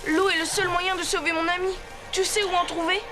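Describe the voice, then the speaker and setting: A woman's voice: very high-pitched, little pitch movement, fairly quick. A woman voicing a brave, earnest young boy in a cartoon. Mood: determined. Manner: earnest, youthful. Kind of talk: animated series acting. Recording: loud music underneath.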